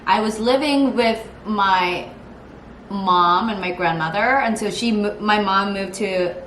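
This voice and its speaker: A woman's voice: mid-range voice, a little slow. Young woman in an echoey room. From Thailand. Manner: relaxed, many "like" fillers. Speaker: co-host, guest friend. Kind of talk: casual chat while doing makeup. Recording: echoey room, faint noise.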